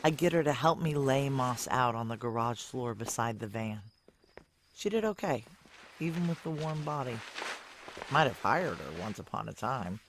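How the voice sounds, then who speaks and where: A woman's voice: very deep voice, expressive, moderate pace, a little hiss or hum. An actress playing a guilt-worn, blunt female operative on the run in a noir audio drama, wry and terse. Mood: wry, weary narrating. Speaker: narrator and lead character. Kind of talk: noir audio drama acting, first-person narration.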